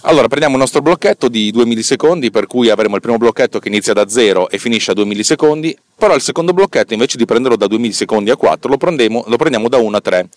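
A man's voice: mid-range voice, expressive, racing, few pauses, dry recording. A man, a little tired, explaining technical matters as a podcast host in an informal, digressive way, often repeating and correcting his words. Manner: informal, digressive, slightly stammering with word repetitions and self-corrections; checks in with listeners using rhetorical questions. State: a bit tired. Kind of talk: casual explanatory tech monologue.

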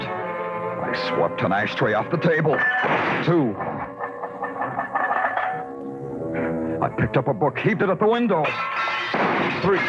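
Hard-boiled night-shift cop, sardonic and dramatically varied, dull old radio sound with music behind. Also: male voice, lowish voice. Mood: tense narration. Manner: sardonic, tough, clipped. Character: hard-boiled, wisecracking New York night-shift police detective. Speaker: lead actor and narrator. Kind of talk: 1950s hard-boiled radio crime acting.

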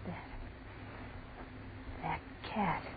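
An actress playing an anxious young college student in a 1940s radio horror drama, speaking haltingly and uneasily. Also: female voice. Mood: frightened. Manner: hesitant, halting, breathless unease. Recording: noisy, tinny 1940s radio broadcast.

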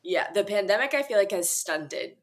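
A young woman hosts a relaxed podcast interview. She is chatty and upbeat and laughs easily, with her voice sitting at an ordinary pitch for a woman. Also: normal speed. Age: young adult. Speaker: podcast host. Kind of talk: casual interview chat.

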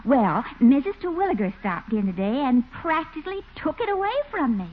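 A woman's voice: sits high, sing-song, a little fast, phone-quality sound. An actress playing a coquettish, gushing lady hat maker in a 1940s radio comedy, on a thin old recording. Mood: coy, pleased.

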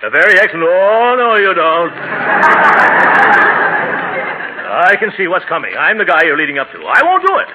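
A man playing a pompous, excitable middle-aged uncle in 1940s radio comedy, blustering and indignant. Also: sits high, unhurried, long pauses, a crowd in the background, full character voice. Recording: tinny, noisy old radio recording.

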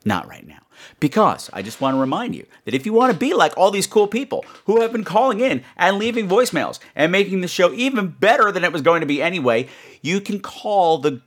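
High-pitched, animated man hosting a podcast. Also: fairly quick. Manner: playful, exclamatory, repeats phrases for effect. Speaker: podcast host. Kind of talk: casual, chatty podcast monologue.

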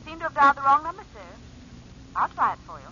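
An actress playing a crisp, polite telephone operator in a 1940s radio drama. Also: female voice, sits high, sing-song, fast. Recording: muffled old radio broadcast, noticeable hiss.

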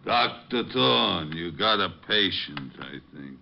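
Huge thuggish orderly, very low gravelly voice, slow blunt delivery, surly, old radio drama. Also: male voice, animated, full character voice. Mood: flat, gruff. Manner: gruff, curt, blunt. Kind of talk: 1950s radio detective drama acting. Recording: dull, noisy old radio recording.

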